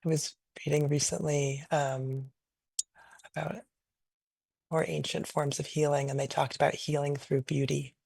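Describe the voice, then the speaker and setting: A woman leading a guided meditation in a gentle, unhurried, invitational way, improvising softly and leaving long pauses. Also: sits low, flat delivery, vocal fry, husky, dry recording. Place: online video call session.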